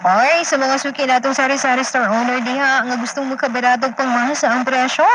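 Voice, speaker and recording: Woman radio DJ, high-pitched live patter, clearly noisy off-air recording. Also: fairly even intonation, fairly quick. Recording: noisy radio broadcast reception.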